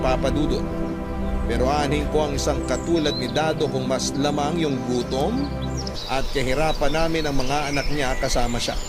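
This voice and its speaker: Filipino guy, manila accent, reading a confessional letter story, kinda high voice, music loud behind him. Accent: Filipino, Manila area. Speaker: drama narrator reading a listener's letter. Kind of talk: scripted first-person story narration.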